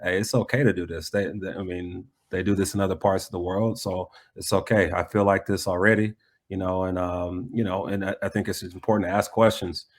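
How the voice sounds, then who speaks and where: An American man talking reflectively in a conversational interview, often pausing mid-thought to restate himself. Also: low-pitched, steady tone. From United States. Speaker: soccer coach being interviewed.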